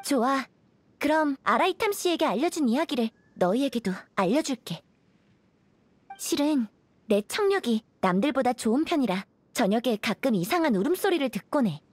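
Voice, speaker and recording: High, husky, guarded young villager. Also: female voice, sing-song, normal speed, dry recording, full character voice. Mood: serious, hushed. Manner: earnest, subdued, confiding. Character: guarded, soft-spoken young desert village woman, melancholy outsider. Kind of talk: video game character dialogue. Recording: faint background music.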